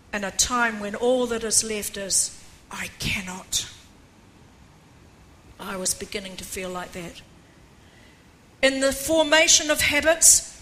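An older woman preaching a personal testimony in an earnest way, with a croaky voice, reading a passage aloud. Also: high-pitched, pushed and bright, slight background noise, rough recording. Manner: earnest, reads aloud with rising emphasis. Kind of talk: testimony sermon.

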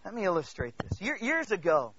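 High-pitched, animated male preacher. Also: a little fast, husky, no room sound, muffled recording. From Congo, Central Africa. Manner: repeats phrases for emphasis, checks in with listeners; emphatic, swings between hushed asides and loud declarations. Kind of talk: animated sermon to a congregation.

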